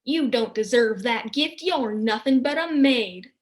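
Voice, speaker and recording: A woman's voice: on the high side, measured pace, natural voice. A woman voicing a cruel, bossy older mother who scolds in a snappy way. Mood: scolding.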